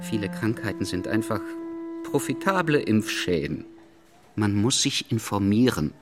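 A man's voice: lowish voice, sing-song, measured pace, over music. An actor playing an indignant, insistent anti-vaccine father in a drama, emphatic, with needling sarcasm and veiled menace. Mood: threatening, preachy. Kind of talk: theatrical dialogue acting.

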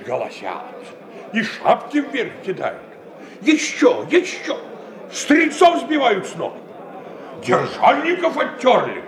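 An actor playing a blunt, grumbling courtier in a radio tragedy, folksy, stubborn and sneering. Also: male voice, on the high side, reverberant. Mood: excited scorn. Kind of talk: Russian stage tragedy as radio play.

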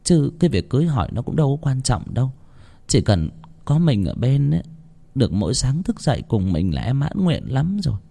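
A man voicing a gentle, devoted young village wife in an audio story, with a soft and tender manner. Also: mid-range voice, big pitch swings, fairly quick, vocal fry.

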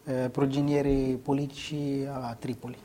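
A man's voice: mid-range voice, little pitch movement, normal speed, warm tone, slight background noise. A man giving spontaneous, unscripted testimony as an interviewee, speaking hesitantly with frequent filler phrases.

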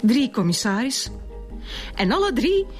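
An actress playing a bitter, protective schoolteacher of forty-three in a Flemish radio drama, speaking with halting emotion. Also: female voice, on the high side, very animated, soft background music. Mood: bitter, indignant. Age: forties. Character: bitter, protective 43-year-old schoolteacher confessing to killing. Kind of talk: naturalistic radio drama acting.

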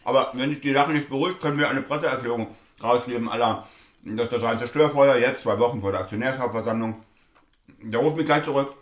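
A man's voice: mid-range voice, fast talker, roomy sound, lightly acted. An actor playing a brusque, cynical lawyer and father in a naturalistic stage drama, clipped and dismissive. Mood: brusque, businesslike. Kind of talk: naturalistic stage-play dialogue acting.

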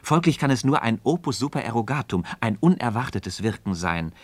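An actor playing a calm, thoughtful philosopher and evasive mentor in a radio play, speaking in deliberate, rhetorical literary diction. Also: male voice, mid-range voice, slightly rough, no echo. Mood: earnest, persuasive.

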